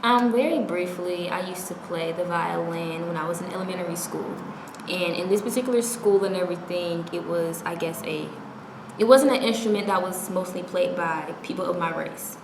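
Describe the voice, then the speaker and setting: A young woman student reflects slowly and hesitantly in an interview. Her voice sits slightly low. The room echoes, and clear noise sits behind her. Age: young adult.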